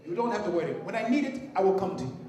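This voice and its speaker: A man's voice: sits high, big pitch swings, very fast, warm tone, distant mic. A man doing a generous farmer brother. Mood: reassuring. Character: farmer Kwesi, grown man, generous then upset. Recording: very echoey room.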